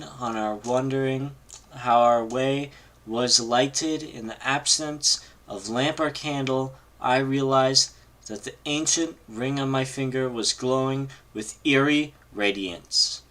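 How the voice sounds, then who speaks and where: Slow-paced man reading a horror story aloud. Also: mid-range voice, bright, forceful tone. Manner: halting, stumbles over words, giggles at mistakes. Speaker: amateur reader on a live read-along stream.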